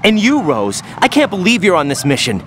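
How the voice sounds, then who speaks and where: A man's voice: high voice, wildly swooping pitch, fairly quick, fairly bright tone, slight background noise, heavily acted. An actor playing a rattled young male soldier in a video game cutscene, anxious and questioning. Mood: shaken. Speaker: infiltration operative.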